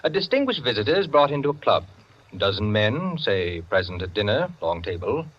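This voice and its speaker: An actor playing an opinionated, self-satisfied clubman who lectures his friends in theatrical 1940s radio style, with savored pauses. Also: lowish voice, big pitch swings. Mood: savoring, dramatic. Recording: dull, band-limited 1940s radio recording.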